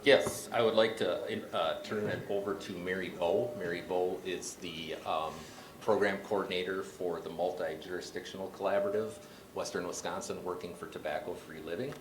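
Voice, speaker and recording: A man's voice: low-pitched, fairly even intonation, moderate pace. A man, a county health official, introducing a speaker at a meeting in a direct, instructive way. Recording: echoey room with background hiss.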